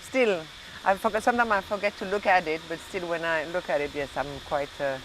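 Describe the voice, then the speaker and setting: Middle-aged French-accented woman, halting speech over noise. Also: lowish voice, fairly even intonation. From Belgium and southern France. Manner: halting, searching for words. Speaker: interview guest, hotel and restaurant owner. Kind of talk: casual conversational interview.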